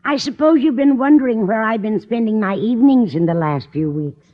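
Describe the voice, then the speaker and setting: A woman's voice: on the high side, expressive, a little fast. Fluttery older landlady, coy and gushing, swooping intonation, 1950s radio comedy, tinny narrow sound. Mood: dreamy, smitten. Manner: gushing, endearing address, dramatic phrasing. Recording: tinny, narrow-band old radio recording.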